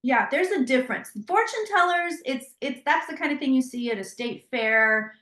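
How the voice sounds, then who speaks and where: A woman with a fairly high voice tells stories in an animated, chatty way, often restarting her sentences. She sounds like she is in a roomy, echoey space. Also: normal speed. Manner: animated storytelling with many restarts. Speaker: psychic tarot reader. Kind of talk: interview conversation.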